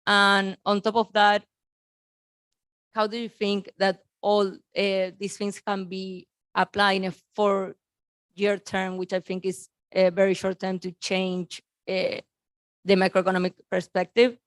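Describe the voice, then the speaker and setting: Smooth, flat-voiced young woman asking questions. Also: mid-range voice, measured pace, no echo. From Argentina. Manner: hesitant, with many fillers like "um" and "like". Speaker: audience member asking questions. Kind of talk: question from the audience.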